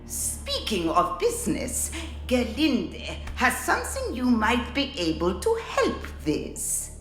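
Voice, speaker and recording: A woman's voice: a little high, wildly swooping pitch, slow pace, fairly bright tone, roomy sound, soft background music, heavily acted. A woman playing a brash, scheming nonhuman runesmith in a video game, boastful and scornful, speaking of herself in the third person. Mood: sly, scheming. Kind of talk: dramatic video game acting.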